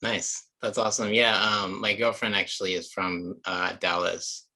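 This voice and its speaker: Bright, forceful low-pitched man hosting casually. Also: flat delivery, normal speed. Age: 30s. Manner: informal, slangy. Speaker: podcast host. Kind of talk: casual interview chat.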